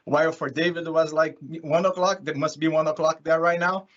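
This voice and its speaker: A man's voice: on the high side, steady tone, brisk, fairly bright tone. A man from Brazil with a Brazilian accent, speaking English as a second language, gives an informal, chatty online conference presentation as a consultant. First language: Portuguese. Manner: chatty, often chuckling. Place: remote online session from California. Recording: dull lo-fi online call audio.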